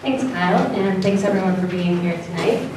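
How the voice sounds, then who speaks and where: A woman facilitator speaking loudly and in an organized way as she walks a public meeting through its plan. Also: medium pitch, normal speed, background noise. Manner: loud, clear and organized. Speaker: facilitator presenting an assessment. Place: large meeting room. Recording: echoey hall from far off.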